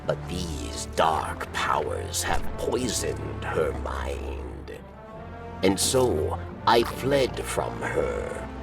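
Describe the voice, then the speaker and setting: A man voicing a weary, remorseful alien exile in a video game, with a gravelly, breathy rasp and halting, formal, solemn speech. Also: extremely low pitch, big pitch swings, over music, exaggerated voice. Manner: halting, formal, solemn, refers to himself by name. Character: weary, remorseful male alien exile speaking of himself in third person. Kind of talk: video game cutscene acting. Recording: loud game score, slightly distant voice.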